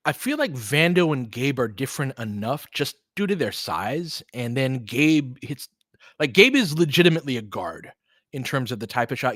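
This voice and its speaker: A man's voice: mid-range voice, fairly bright tone, no room sound, studio quality. A man hosting a live basketball stream, giving casual, analytical commentary and chatting with viewers in between short reactions to the play.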